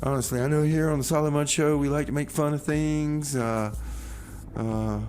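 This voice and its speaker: A man's voice: on the high side, fairly even intonation, normal speed, darkish tone. A man hosting a community radio music show, talking casually in a rambling, repetitive way with comic banter. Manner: rambling, repeats phrases, says "man" casually. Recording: noticeable background noise, ambience.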